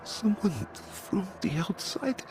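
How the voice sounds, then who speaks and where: High-voiced, desperate pleading survivor. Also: male voice, wildly swooping pitch, music in the background, heavily acted. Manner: halting, pleading. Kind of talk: dramatic video game dialogue.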